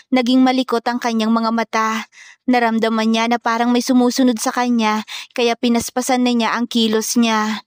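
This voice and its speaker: High-voiced Filipino woman narrating a story. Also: no room sound, studio quality. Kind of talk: scripted dramatic story narration.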